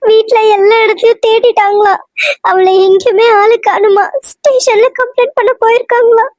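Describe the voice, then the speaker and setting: A woman's voice: very high-pitched, steady tone, normal speed. A woman playing an anxious young married woman who takes charge, speaking earnestly in an audio drama. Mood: tearful. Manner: insistent, earnest.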